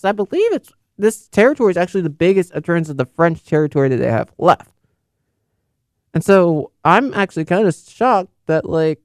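A man's voice: sits high, smooth, dry recording, clean studio sound. A young man in casual, unscripted commentary, rambling and correcting himself with many fillers. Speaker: football video host.

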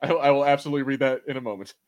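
A man hosting a casual watch-party commentary, talking with enthusiasm in a halting, repetitive way. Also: mid-range voice, steady tone, a little mellow. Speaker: podcast host.

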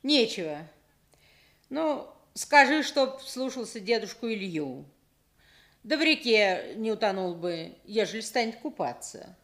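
A woman's voice: mid-range voice, sing-song, a little slow, a bit bright. An actress playing a hearty, teasing village mother with speech in a radio play. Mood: offhand.

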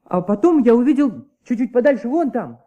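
An actor playing a nervous young choirboy giving testimony in a Soviet radio play, hesitant and stammering, often repeating himself. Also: male voice, very high-pitched, expressive, fairly quick, dark tone, muffled recording. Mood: uneasy, halting.

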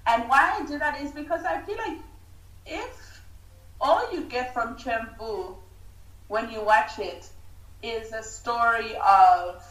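A woman's voice: a little high, slow pace, a bit bright. A woman from Uganda with an international English accent that is hard to place, reflecting on her work as a writer and director in an interview and thinking aloud as she goes. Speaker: guest, film writer and director. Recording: roomy echo, distant microphone.

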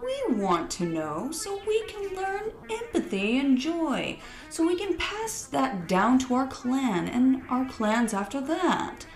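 Woman voicing a slow, menacing alien invader. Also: high-pitched, very animated, echoey room, faint music behind, pitched above the performer's own voice, full character voice. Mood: feigned sincerity. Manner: slow, drawn-out, taunting delivery. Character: cold, menacing alien invader disguised as talking turkey. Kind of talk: storybook villain narration.

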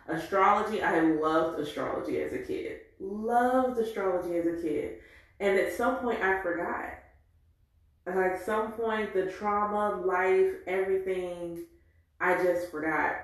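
A woman in her forties telling a candid, reflective personal story in an animated way. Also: lowish voice. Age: 40s. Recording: very echoey room.

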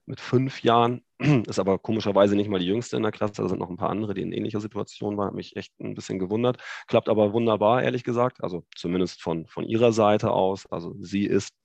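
A man in his thirties from Hamburg with a northern German accent, chatting as a guest on a video call, relaxed and hesitant with many fillers. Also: lowish voice, fast talker, gravelly, few pauses, no echo, muffled recording. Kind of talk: casual chat.

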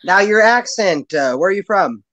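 A man's voice: high-pitched, animated, moderate pace, fairly bright tone, no echo. A man hosting a podcast, asking questions in a curious, hesitant way with lots of fillers. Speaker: podcast host. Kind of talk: casual interview chat.

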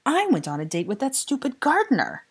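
A woman voicing a lovesick, exasperated young man in dramatic audiobook dialogue, impassioned and sardonic, with halting phrasing. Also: sits high, huge pitch swings, breathy edge, pitched above the performer's own voice, full character voice. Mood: outraged, lovesick.

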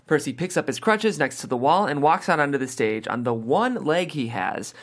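A man's voice: a little high, lively intonation, moderate pace. A man narrating stage directions from a screenplay at a table read.

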